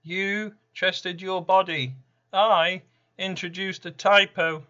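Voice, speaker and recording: Smug fifth horseman played by a man, taunting and deadpan, higher-pitched male voice, very unhurried delivery, poetic monologue. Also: highs cut off, full character voice. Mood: sly, smug. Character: RanDumb, smug casual fifth Horseman of the Apocalypse. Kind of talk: dramatic poetry monologue.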